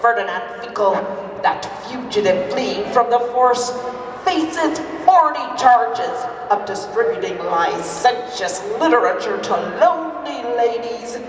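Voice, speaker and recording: A woman's voice: a little high, expressive, measured pace. A woman playing a bumbling, lovesick male Mountie sergeant, declaiming grandly in live melodrama theater. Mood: grandiose. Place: live amateur stage performance at fair. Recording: echoey hall from far off, noisy.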